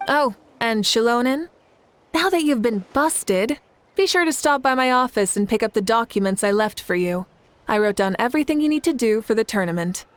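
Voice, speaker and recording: An actress playing a confident, composed heroic leader with a playful side in a video game, speaking in an assured, wry manner. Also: female voice, fairly high pitch, expressive, moderate pace, lightly acted. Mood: wry, fond. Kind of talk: video game character dialogue. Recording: music behind the voice.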